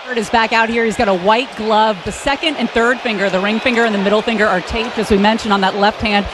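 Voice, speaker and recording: A woman's voice: a little high, steady tone, fast talker, background noise. An American woman giving a live sideline report at a football game, speaking from the stadium field.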